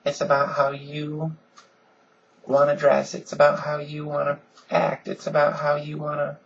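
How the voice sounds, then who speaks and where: A woman with a deep voice she softens a little, talking earnestly and casually in a home vlog with lots of ums. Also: completely flat delivery, a little slow, vocal fry, highs cut off, slight background noise. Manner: frequent "um"s, earnest and conversational. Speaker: vlogger. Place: at home, in pajamas.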